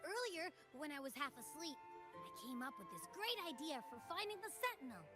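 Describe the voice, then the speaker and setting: A woman's voice: high-pitched, very animated, a little fast. A woman voicing a small, cocky, eager flying creature companion in a video game. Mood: tired, reassuring. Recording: game music loud behind voice.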